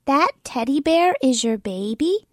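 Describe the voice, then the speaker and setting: A woman's voice: high voice, animated, measured pace, no echo, studio quality, voice pushed up. A woman reading a storybook aloud and voicing a bossy little girl playing doctor, firm and emphatic. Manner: firm, emphatic, a little louder than the narration.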